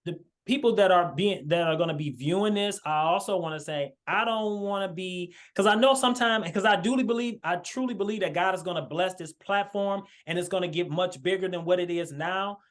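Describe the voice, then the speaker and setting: A man's voice: sits high, a little fast, hardly any pauses. A man hosting a marriage podcast over a video call, speaking earnestly with many fillers and restarts. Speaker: podcast host. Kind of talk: casual video-call chat.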